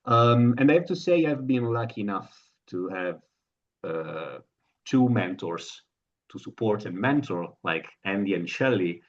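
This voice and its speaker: A man speaks slowly and haltingly, filling his pauses with ums. His voice sits fairly low. The call audio sounds dull and lo-fi. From Italy. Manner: hesitant, many fillers. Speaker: program lead and host.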